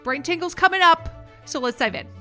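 A woman hosting her own podcast, chatting warmly and enthusiastically with a guest. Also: very high voice, animated, fairly quick, soft background music. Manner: enthusiastic, affirming. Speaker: podcast host. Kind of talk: casual interview chat.

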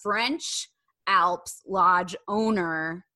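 An American woman co-hosting a podcast in casual, slangy chat, excitable and quick to mock herself. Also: fairly low pitch. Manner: excitable, self-mocking, slangy. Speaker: podcast co-host. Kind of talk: casual pop-culture chat.

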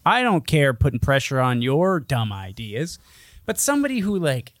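A man's voice: mid-range voice, very animated, normal speed, a bit bright, no echo. A man talks as a podcast co-host in loose, argumentative banter. He is blunt and skeptical and swears freely. His voice is recorded dry, with hardly any room sound.

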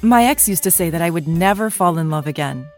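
A woman's voice: fairly low pitch, animated, brisk, a little mellow, lightly acted. A woman playing a cheerful, affectionate wife in an audio drama. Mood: tender. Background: music.

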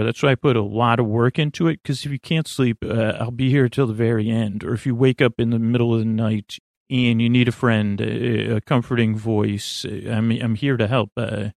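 Male sleep-podcast host, creaky and soothing, mid-pitched, wandering halting monologue, dry close recording. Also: dry recording. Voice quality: creaky, lulling. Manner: meandering, halting, stammering asides. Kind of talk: rambling bedtime monologue.

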